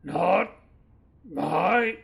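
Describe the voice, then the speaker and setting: An actor voicing a dim, blunt henchman creature in an audio drama, with a croaky voice and halting, simple speech. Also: mid-range voice, expressive, heavily acted. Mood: indignant bellowing. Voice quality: gravelly, croaky.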